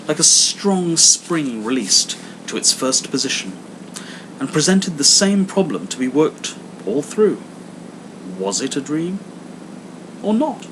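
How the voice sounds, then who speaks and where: Guy reading an old story dramatically, animated pitch, rumbly hum behind him. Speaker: audiobook reader. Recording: noisy amateur recording with rumbling hum.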